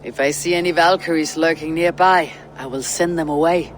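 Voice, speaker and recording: An actor playing a steadfast Viking warrior in a video game drama, frank and assured with a dry, wry manner. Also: male voice, on the high side, steady tone, normal speed, background noise, lightly acted. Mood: measured.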